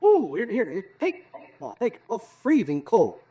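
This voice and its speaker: Excitable, high-voiced amateur experimenter. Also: male voice, husky, full character voice. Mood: amazed. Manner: halting, colloquial, mock-announcer flourishes. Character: excitable amateur inventor's helper, jokey reluctant announcer. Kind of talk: 1940s radio acting. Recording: vintage 1940s radio drama recording.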